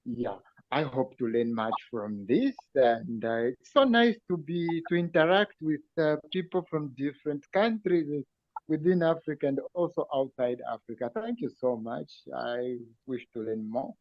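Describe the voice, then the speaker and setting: A man from Zambia introduces himself on a video call in a polite, hesitant way. His voice is mid-pitched, and the call audio sounds dull and dry. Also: expressive, a little slow, dry recording. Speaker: participant. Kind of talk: self-introduction.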